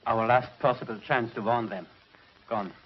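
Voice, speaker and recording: Actor as an earnest lab technician, dull soundtrack. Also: male voice, medium pitch, fairly even intonation, normal speed, natural voice. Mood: dismayed. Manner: explanatory, deferential. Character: earnest, deferential laboratory technician. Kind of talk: 1950s television sci-fi serial acting. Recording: muffled old TV soundtrack, faint noise.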